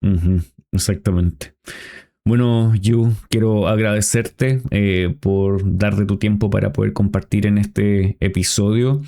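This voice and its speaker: A man hosting a podcast, talking in a calm, reflective way with many hesitant pauses. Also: on the low side, vocal fry. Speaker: podcast host. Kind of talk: casual podcast conversation.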